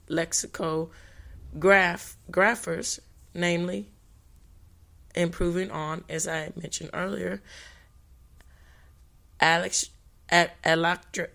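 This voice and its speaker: A woman's voice: on the low side, gravelly, fairly bright tone. A woman podcast host, reading notes for a language talk, often stumbling and restarting on hard words. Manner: halting, with restarts on hard words and brief apologies.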